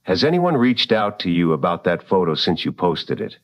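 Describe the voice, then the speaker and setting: A man plays a late-night talk radio host in a drama. His voice is on the low side, close and dry with a slightly muffled tone. He questions callers in a measured, courteous way. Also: big pitch swings, dry recording. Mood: grave, courteous.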